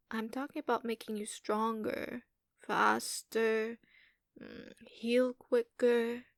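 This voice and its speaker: Woman voicing a slow, cooing giant dragon mommy. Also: on the high side, gravelly, dry recording, clean studio sound. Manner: cooing, repetitive, murmured hums, sometimes dropping to whispers. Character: giant dragon mother, doting, teasing, softly dominant. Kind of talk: monster girl ASMR roleplay. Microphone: close microphone.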